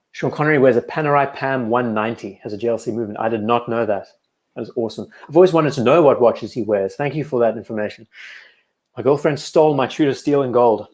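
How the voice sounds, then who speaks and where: A young man from Cape Town chats casually on a livestream, his South African accent clear. He sounds relaxed and keen, trailing off into fillers and repeating himself as he thinks aloud. His voice sits at a middle pitch for a man. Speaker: livestream host.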